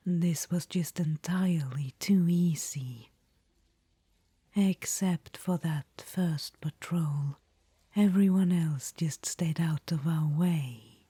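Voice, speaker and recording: A woman's voice: deep voice, takes it slowly, warm tone, dry recording, full character voice. A woman voicing an ancient, vain undead witch-queen in an audio roleplay, whispering and calling the listener darling. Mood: languid, conspiratorial. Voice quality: breathy whisper.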